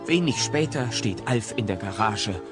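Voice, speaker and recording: An actor narrating a comic family audio play as a scripted, dramatic storyteller. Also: male voice, lowish voice, lively intonation, moderate pace, loud music behind the voice, close to an everyday voice. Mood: wry storytelling.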